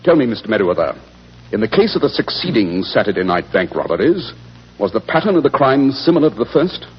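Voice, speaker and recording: Fast, husky British detective. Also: male voice, mid-range voice, big pitch swings. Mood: confident, inquiring. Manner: clipped, incisive, sardonic. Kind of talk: 1940s radio acting. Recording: muffled, reverberant 1940s radio recording.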